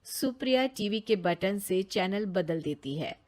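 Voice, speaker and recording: A woman's voice: medium pitch, animated, measured pace, a little mellow. A woman narrating a scripted cartoon story for children.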